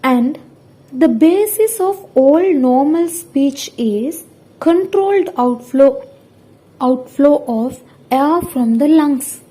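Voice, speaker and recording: Young woman student reading a lesson off a script, slow n halting, kinda mellow voice. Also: sits high, lively intonation, low-quality recording. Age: young adult. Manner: careful, halting, word-by-word delivery. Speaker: student presenter. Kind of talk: scripted lesson presentation, read out.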